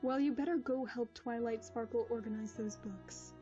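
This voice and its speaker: A woman voicing a wise, gentle royal mare who speaks in a calm, motherly way. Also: fairly high pitch, moderate pace, close to an everyday voice. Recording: dull lo-fi audio with music.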